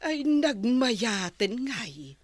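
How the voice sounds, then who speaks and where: A woman's voice: a little high, big pitch swings, very slow, no room sound, exaggerated voice. An actress playing a curious neighbor woman who gossips about a visitor. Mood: gossiping. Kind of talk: Ilocano radio drama acting.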